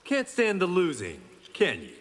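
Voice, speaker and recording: A man's voice: sits high, huge pitch swings, unhurried, in a large hall, heavy background noise, heavily acted. An actor playing a stoic blind swordsman hero in a video game, with dry, confident wit. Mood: dry confidence.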